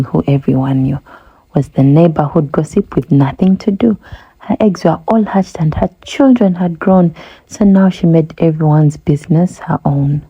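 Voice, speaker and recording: A woman narrating a rhyming children's storybook aloud in a measured, expressive way. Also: low-pitched, slightly rough, highs cut off.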